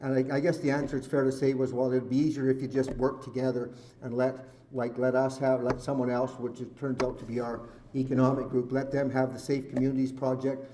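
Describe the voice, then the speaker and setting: A man's voice: mid-range voice, flat delivery, a little fast, mellow, slight background noise. A man chairing a community meeting, talking earnestly and at length. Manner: earnest, talkative, rambling.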